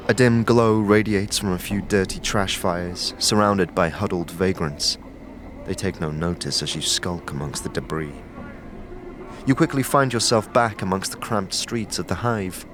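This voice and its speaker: A man narrating a fantasy audio series in scripted, measured, descriptive second-person storytelling. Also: low-pitched, a little hiss or hum.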